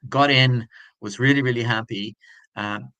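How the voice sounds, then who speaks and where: A man in his forties, originally from Brazil and a native Portuguese speaker, talking through his story as an interview guest. His voice is bright and forward, recorded close and dry. He searches for words, often repeating himself before going on. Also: mid-range voice, steady tone, measured pace, no room sound. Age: 40s. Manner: thoughtful, many restarts and repeated words.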